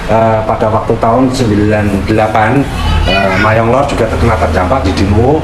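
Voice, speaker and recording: A man in his fifties with a Javanese accent, a village head calmly explaining to student interviewers, pausing often with "eh". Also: lowish voice, echoey room, noisy background. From Jepara, Central Java. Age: 50s. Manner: unhurried, explanatory, with frequent "eh" pauses.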